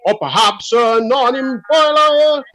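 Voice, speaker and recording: An actor playing an exuberant beer-loving village amateur singer, hearty and encouraging, in a radio comedy. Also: male voice, extremely high pitch, slow, fairly bright tone, faint music behind, full character voice, rough recording. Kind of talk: radio comedy drama acting.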